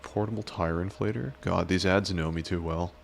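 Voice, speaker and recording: Deep-voiced joking male streamer. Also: fairly even intonation, normal speed, creaky, a little hiss or hum. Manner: rambling, joking, self-deprecating. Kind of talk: casual live-stream chat.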